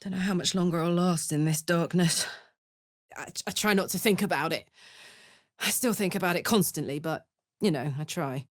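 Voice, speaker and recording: A woman voicing a brash, warm-hearted and rowdy female adventurer in a video game, with blunt, informal and expressive delivery. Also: lowish voice, normal speed, no room sound, clean studio sound, natural voice. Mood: tender, wistful.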